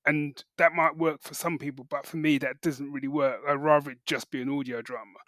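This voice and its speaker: A man's voice: fairly high pitch, steady tone, a little fast, a bit bright, dry recording, studio quality. A British man co-hosting a podcast, explaining things in casual, informal chat with some hesitant phrasing. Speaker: podcast co-host reporting news.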